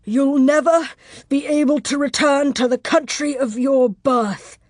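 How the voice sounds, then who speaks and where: A woman voicing a savage, defiant medieval villain lord in an audiobook, shouting and taunting. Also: high voice, fairly even intonation, unhurried, dry recording, studio quality, voice pushed up. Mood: savage accusation.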